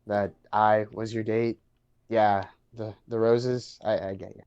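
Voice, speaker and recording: A man's voice: on the low side, little pitch movement, slow, a little mellow, smooth, lo-fi sound. A man playing an awkward, chatty young man in a casual dramatic reading, hesitant and stammering. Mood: flustered, friendly. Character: awkward, chatty young man named Bartram on a blind date.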